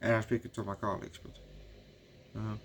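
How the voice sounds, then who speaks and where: A man's voice: fairly low pitch, flat delivery, fairly quick, a little hiss or hum. Rambling Dutch-accented man reviewing a movie. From Netherlands. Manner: rambling, swears often, peppers talk with "you know".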